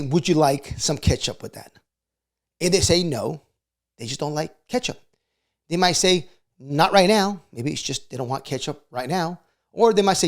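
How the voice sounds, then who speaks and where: A middle-aged man giving casual motivational advice as a video host, conversational and often checking in for agreement. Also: on the high side. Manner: conversational, frequently seeks agreement with short check questions.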